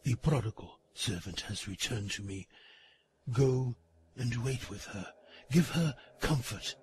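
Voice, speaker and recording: A man voicing a mocking, imperious dark prince in a gothic audio drama, sardonic and haughty. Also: mid-range voice, lively intonation, unhurried, a little hiss or hum, lightly acted. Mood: sardonic menace.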